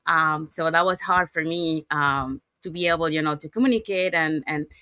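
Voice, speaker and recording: A woman's voice: sits low, normal speed, pushed and bright, clear, resonant tone, dry recording. A woman from Panama, a native Spanish speaker with a Panamanian accent, talking casually as the host of an interview. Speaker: show host.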